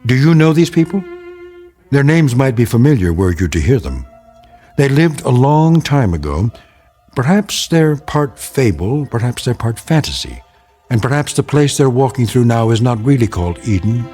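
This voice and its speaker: An actor playing an enigmatic narrator of a radio drama anthology, measured, philosophical and portentous. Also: male voice, medium pitch, big pitch swings, mellow, husky, faint music behind. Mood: knowing, mysterious.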